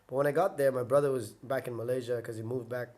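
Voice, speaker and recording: A man's voice: mid-range voice, fairly even intonation, fast talker, darkish tone. A young man sits as the guest on an interview podcast and talks through his early fighting days. He wanders off on tangents, fills his sentences with "like," and keeps going back to correct himself. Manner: rambling, filler-heavy, self-correcting. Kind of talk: casual storytelling interview.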